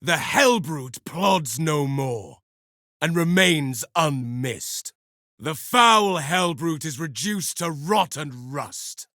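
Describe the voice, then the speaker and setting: Bright-voiced, zealous armoured warrior. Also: male voice, a little high, lively intonation, takes it slowly, dry recording, studio quality, full character voice. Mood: grim contempt. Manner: archaic, declamatory, scornful. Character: armoured male space marine, grim zealous warrior. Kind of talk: video game battle barks.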